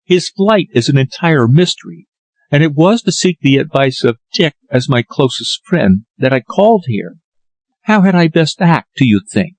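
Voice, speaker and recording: Distressed young woman, earnest and sorrowful, pitched up by a man reading. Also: lively intonation, normal speed, no room sound, close to an everyday voice. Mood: anxious. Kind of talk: audiobook character reading.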